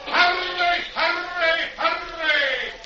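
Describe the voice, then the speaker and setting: An actor playing a loud carnival barker in a 1940s radio drama, hawking a high-dive act in drawn-out sing-song shouts. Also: male voice, steady tone, exaggerated voice. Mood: excited hawking. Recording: muffled, noisy old radio, distant and echoing.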